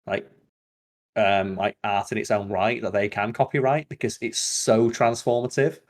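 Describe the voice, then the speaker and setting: A man chatting casually as a podcast host, opinionated and given to sweary, animated rants. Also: lowish voice, normal speed.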